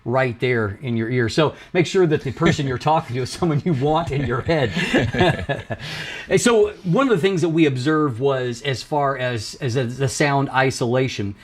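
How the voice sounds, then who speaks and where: Male reviewer-host, average pitch, conversational, slightly halting delivery with rephrasing.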